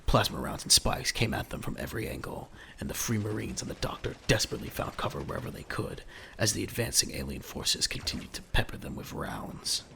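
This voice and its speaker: A man's voice: fairly low pitch, big pitch swings, brisk, vocal fry, bright, forceful tone, a little hiss or hum. A man narrating a scripted audio drama in a dramatic storytelling style.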